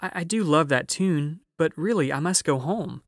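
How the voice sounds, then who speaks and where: A man's voice: fairly high pitch, animated, moderate pace, dry recording, clean studio sound, pitched above the performer's own voice. A man voicing a kind, earnest and anxious little girl in a storybook. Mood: polite, apologetic. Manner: pleading, concerned, exclaiming.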